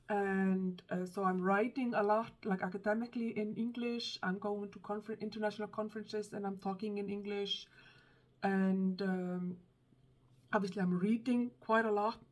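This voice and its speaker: A woman's voice: mid-range voice, a little mellow. A woman from Iceland speaks English with an Icelandic accent, talking casually to the camera. She is thoughtful and hesitant, with many ums and false starts as she searches for her words. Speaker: book vlogger.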